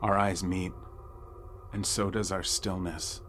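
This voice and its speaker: A man narrating a first-person horror audio drama in a wry, suspenseful way with dramatic pauses. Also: sits low, flat delivery, fairly bright tone, faint music behind. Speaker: storyteller narrating a nightmare.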